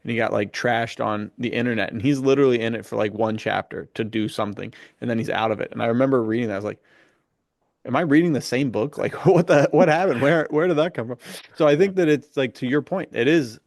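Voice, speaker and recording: A man's voice: medium pitch, fast talker, vocal fry, no room sound, clean studio sound. A man chatting casually on a podcast about books, enthusiastic and slangy, peppering his talk with "dude" and "like". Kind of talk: casual podcast book chat.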